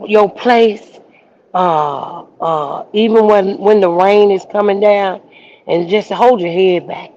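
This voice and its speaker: A woman minister hosting a livestream, preaching outdoors in the rain with repetition and rising shouts. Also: medium pitch, muffled recording, a little hiss or hum. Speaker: minister and show host. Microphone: phone livestream.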